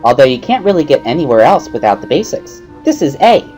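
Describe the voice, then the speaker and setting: A woman's voice: deep voice, very animated, a little fast, warm tone, faint music behind. A woman voicing a bubbly, pushy schoolgirl tutor with perky, giggly, emphatic speech in a visual novel reading. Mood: cheerful teaching. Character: bubbly, pushy schoolgirl, earnest amateur sign language tutor.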